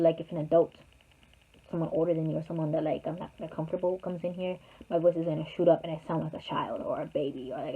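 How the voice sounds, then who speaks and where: A woman's voice: on the low side, breathy edge. Rambling young woman, tinny recording. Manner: rambling, chatty, full of "like" fillers. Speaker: vlogger. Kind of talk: casual storytime. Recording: tinny phone-like sound.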